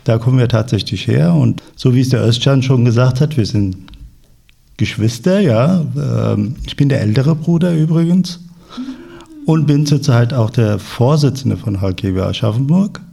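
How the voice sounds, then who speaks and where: A man in his fifties from Turkey, chairman of a club, introduces himself on a podcast. His voice is gravelly and mellow, and he talks in a relaxed way with the odd filler. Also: mid-range voice, normal speed. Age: 50s. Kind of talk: conversational interview answers.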